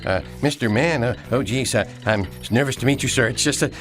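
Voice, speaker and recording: A man's voice: medium pitch, brisk, music in the background, close to an everyday voice. A man playing a jittery, obsequious, slyly ruthless numbers man who stammers, in a satirical radio play. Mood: nervous, fawning. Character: nervous, sweaty, obsequious male number cruncher, slyly ruthless.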